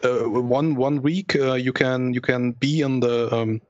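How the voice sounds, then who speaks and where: A man with a German accent, a guest in a casual chat, halting and searching for words. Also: medium pitch, steady tone, no echo. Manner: halting, many ums and uhs, searching for words. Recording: dull lo-fi dry mic.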